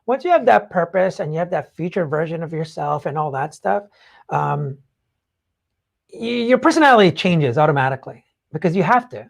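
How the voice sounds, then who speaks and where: Co-host just chatting, blunt and opinionated, kinda halting, medium pitch, swears a bit. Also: lively intonation, vocal fry. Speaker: talk show co-host. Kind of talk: casual opinionated chat.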